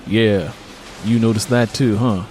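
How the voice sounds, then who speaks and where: A man's voice: on the low side, steady tone, a little slow, darkish tone, faint music behind, close to an everyday voice. A man voicing an easygoing, teasing husband and father in an audiobook story.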